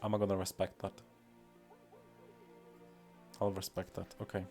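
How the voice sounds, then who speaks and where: A man's voice: deep voice, completely flat delivery, moderate pace, gravelly, mellow, soft background music. A man with a Polish accent, a native Polish speaker, streaming casually and rambling with many fillers and self-deprecating remarks. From Poland. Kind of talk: casual live-stream commentary.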